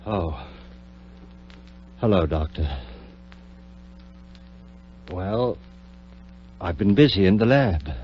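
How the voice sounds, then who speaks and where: Resigned, weary scientist, low voice, vintage radio drama with faint hum. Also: male voice, full character voice. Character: weary, subdued male scientist on the telephone. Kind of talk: 1940s radio horror acting. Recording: dull, humming 1940s radio recording.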